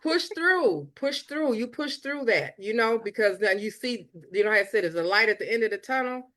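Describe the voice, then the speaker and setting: A woman's voice: a little high, expressive, moderate pace, a bit bright. An American woman hosting a talk show, speaking in an encouraging, affirming way and repeating short phrases.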